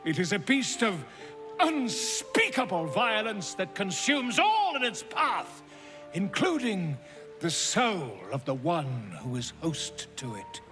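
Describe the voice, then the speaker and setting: An actor playing a flamboyant, self-important bard in a video game, speaking in a florid, theatrical and chummy manner. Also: male voice, high-pitched, huge pitch swings, slow pace, music in the background, full character voice. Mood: ominous, dramatic. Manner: theatrical, florid, grandiloquent storytelling. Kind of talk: video game character acting.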